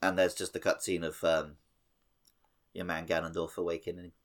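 A man's voice: deep voice, level tone, normal speed. A man co-hosting a podcast, explaining things informally in casual video game chat. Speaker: podcast co-host.

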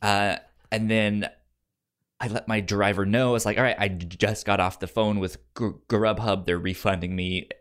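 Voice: low-pitched, fairly even intonation, moderate pace, clean tone. A podcast co-host with a stutter, repeating sounds and words while telling an informal story in casual chat and acting out the dialogue.

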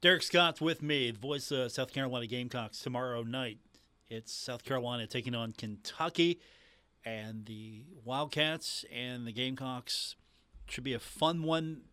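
Guy hosting a sports talk show, chatty, really bright and clean sounding. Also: medium pitch, moderate pace, clear, resonant tone, no room sound. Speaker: sports radio show host. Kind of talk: conversational sports interview.

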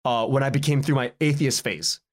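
A man speaking casually as a podcast guest, in a halting, rambling way, often repeating words and cutting himself off. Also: mid-range voice. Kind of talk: casual interview conversation.

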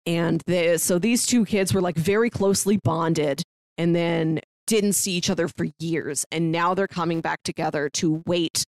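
A woman co-hosting a podcast, chatting casually as she describes and pitches shows. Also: fairly even intonation, normal speed, darkish tone, no room sound. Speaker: podcast co-host.

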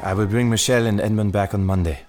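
Man playing anxious, low-voiced secretive father. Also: steady tone, quick pace, loud music behind the voice, natural voice. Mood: determined, tense. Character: anxious, secretive French father fleeing with his sons. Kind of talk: audio drama acting.

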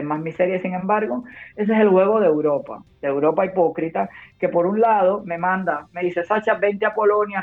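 A woman from Cuba, a war correspondent and nurse, speaking emphatically and with urgency in long turns during a phone interview. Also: low voice. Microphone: phone call. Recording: tinny phone line.

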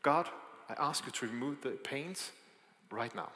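A man's voice: medium pitch, a little slow, pushed and bright. A man from Denmark with a Danish accent, preaching a sermon with hesitant fillers and light asides. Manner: hesitant, with frequent fillers and self-deprecating asides. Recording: echoey hall with long reverb.

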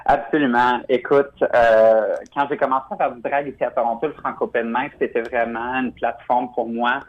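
A man's voice: mid-range voice, steady tone, moderate pace. A man, a drag performer from Quebec, chatting casually in a phone interview with many fillers and restarts. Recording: muffled phone line.

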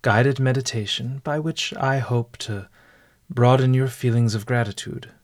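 Slow-paced man guiding a meditation. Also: on the low side, no room sound, clean studio sound. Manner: formal, measured, literary phrasing. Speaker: meditation guide. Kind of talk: guided meditation narration.

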